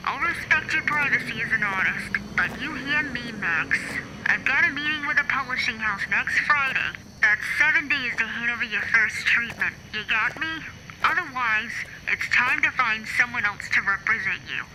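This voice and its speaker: A woman playing a blunt, impatient literary agent, brisk and scolding in an audio drama. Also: a little high, expressive, very noisy, close to an everyday voice. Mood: stern. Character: blunt, impatient female literary agent pressuring her client.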